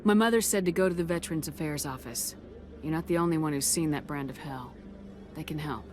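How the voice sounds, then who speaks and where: A woman playing a firm, low-voiced commander. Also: a little fast, a little hiss or hum, close to an everyday voice. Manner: calm, firm, direct. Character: female starship commander, calm and firm. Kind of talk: video game voice acting. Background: game music.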